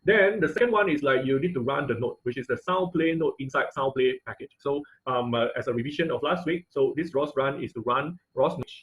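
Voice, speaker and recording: Halting male online tutorial instructor. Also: mid-range voice, low-quality recording. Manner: halting, checks in often with listeners. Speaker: teacher of an online robotics class. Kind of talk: informal tutorial with live demonstration. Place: online video call class.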